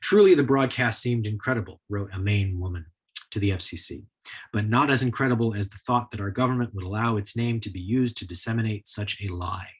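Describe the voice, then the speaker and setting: A man's voice: fairly low pitch, a little fast, slightly rough. An American man speaking as a historian, delivering a prepared lecture in an explanatory style.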